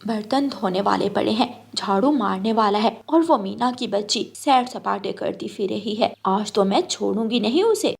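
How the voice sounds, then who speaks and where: A woman's voice: medium pitch, normal speed, vocal fry, hardly any pauses, slightly rough, pitched below the performer's own voice. A woman voicing a cruel, bossy stepmother bird who scolds and threatens in a cartoon. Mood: angry, impatient.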